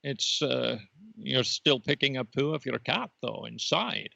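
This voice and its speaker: A man's voice: medium pitch, expressive, measured pace, gravelly, pushed and bright, no echo, highs cut off, full character voice. A man voicing a knowing, sardonic red laser dot, a cat's old rival, in an improvised comedy podcast. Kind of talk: improvised character comedy interview.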